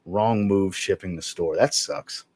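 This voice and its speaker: A man hosting a casual livestream podcast chat over a video call, relaxed and reading out viewer comments as he goes. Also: fairly low pitch, measured pace, gravelly, dry recording. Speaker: podcast host.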